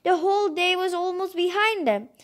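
A woman's voice: very high voice, normal speed. A woman reading a picture book aloud expressively, adding informal, enthusiastic asides of her own. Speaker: storybook reader and commentator. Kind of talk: read-aloud storytelling with chatty asides.

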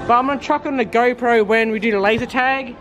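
A man's voice: extremely high pitch, fast talker. A young man vlogging on location in an arcade, hyped and slangy. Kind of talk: on-location vlog intro. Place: indoor arcade. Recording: loud arcade crowd and music. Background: music and crowd.

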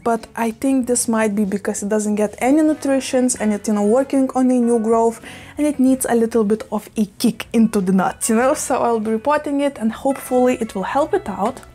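Fast-talking young woman, Russian native. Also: a little high. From Russian-speaking, living in Lithuania. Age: young adult. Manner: fast, gushing, chatty with many exclamations. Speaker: plant vlogger. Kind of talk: casual chat while repotting plants. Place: small indoor room full of plants and shelves. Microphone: fixed camera at desk. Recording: faint background music under the voice. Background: soft background music.

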